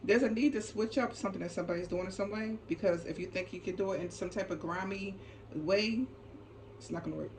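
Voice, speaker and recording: A woman with a mid-pitched voice gives an intuitive card reading to her channel's viewers. She talks off the cuff and emphatically, circling back on phrases and checking in with short tags. There is audible ambient noise behind her. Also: fast, a little mellow. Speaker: tarot reader and channel host. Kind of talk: unscripted intuitive card reading, casual spiritual talk. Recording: clearly noisy ambient background.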